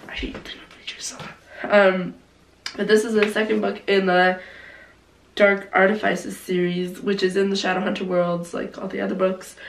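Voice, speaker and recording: A hoarse young woman chatting casually about her favorite books, gushing and saying "like" often, with a cough now and then. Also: medium pitch. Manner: gushing, heavy use of "like". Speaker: book vlogger. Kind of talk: casual book review chat. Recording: roomy, distant mic, faint noise.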